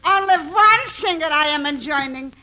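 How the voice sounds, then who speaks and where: A woman's voice: sits high, animated, a little slow, exaggerated voice. A woman playing an opinionated, swooning housewife with mangled syntax and dramatic comic delivery in 1940s radio comedy. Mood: gushing. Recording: noisy 1940s radio broadcast, loud studio audience.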